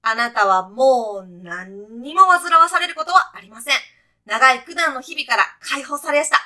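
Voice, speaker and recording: A woman's voice: sits high, expressive, normal speed, pushed and bright, heavily acted. A woman playing a brash, breezy guide to heaven in a comic radio sketch, talking in punchy, clipped, casual slang like a sporty junior employee. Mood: upbeat, brash.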